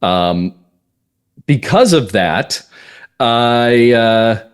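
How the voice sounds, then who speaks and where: An American man talking as a guest writer in a conversational interview, explaining things reflectively and emphatically in short measured phrases. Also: mid-range voice, expressive, clear, resonant tone. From northern Massachusetts, US. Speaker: guest playwright and comedy writer.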